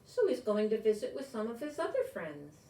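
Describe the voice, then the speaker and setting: A woman's voice: a little high, big pitch swings, brisk, warm tone. An older woman reading a picture book aloud to children, expressively, with little asides and questions to the listeners. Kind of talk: animated read-aloud to children. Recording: echoey room, distant mic.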